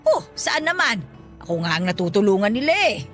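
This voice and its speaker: A woman playing a warm, forgetful elderly grandmother in a Filipino radio drama, exclaiming and chuckling as she fusses. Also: medium pitch, sing-song, a little fast, soft background music, natural voice. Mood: cheerful, fussing. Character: warm, teary, forgetful elderly grandmother who runs a store.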